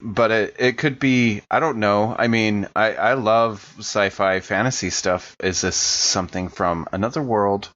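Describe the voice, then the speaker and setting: A man casually improvising and narrating as a player in a tabletop horror podcast, halting and repeating his words. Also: on the low side, a bit bright, highs cut off.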